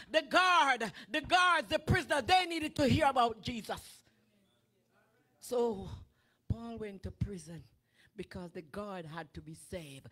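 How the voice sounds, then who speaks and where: A middle-aged woman preacher delivering a sermon from a church stage into a handheld microphone, rising from chatty storytelling to shouting. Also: high-pitched. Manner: animated preaching that builds to shouting. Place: church sanctuary with draped stage.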